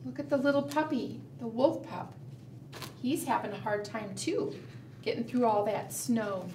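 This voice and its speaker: A middle-aged woman telling a picture-book story to preschoolers in simple, expressive language, often asking the listeners questions. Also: a little high, unhurried. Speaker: children's librarian and storytime host. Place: indoor room, book shown to camera. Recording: roomy, distant mic, background noise.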